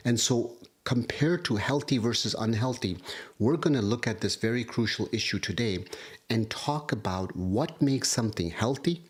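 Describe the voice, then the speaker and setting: A man, a doctor, giving a livestream health lecture, hoarse from a cold and walking through studies point by point. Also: medium pitch, normal speed. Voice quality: husky. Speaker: kidney doctor. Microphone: livestream setup.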